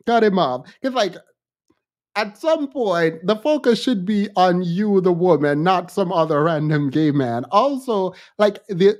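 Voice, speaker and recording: A man's voice: sits high, darkish tone. Sassy, colloquial man hosting a livestream. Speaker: livestream host. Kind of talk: casual livestream commentary. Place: small indoor home studio. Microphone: close desk microphone.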